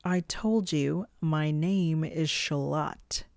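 A narrator voicing a steady, sarcastic young female apprentice weaver in a fantasy audiobook. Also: medium pitch, measured pace, dry recording, clean studio sound, natural voice. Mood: calm defiance. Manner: steady, quiet, firm.

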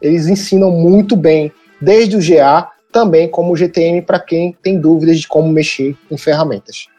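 A man's voice: fairly high pitch, fairly even intonation, normal speed, warm tone, faint music behind. A man with a Northeastern Brazilian accent hosting a podcast, upbeat, explaining step by step and prompting his guests. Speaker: podcast host. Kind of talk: casual podcast chat.

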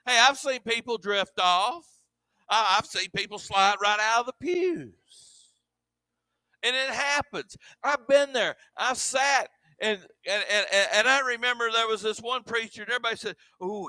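High bright-voiced pastor preaching. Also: male voice, no room sound. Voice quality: monotone. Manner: halting, repeats words. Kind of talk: sermon.

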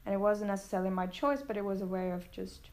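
A young woman from Sweden chatting on a student podcast, reflective and halting, often restarting her thoughts. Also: medium pitch, a little mellow. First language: Swedish. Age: teens. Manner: reflective, halting, self-doubting. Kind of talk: casual chat.

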